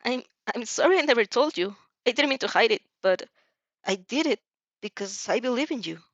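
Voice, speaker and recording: A woman's voice: on the high side, animated, a little fast, dry recording, dull audio, voice pushed up. A woman voicing a caring, determined young heroine student in an earnest, emotional audio story.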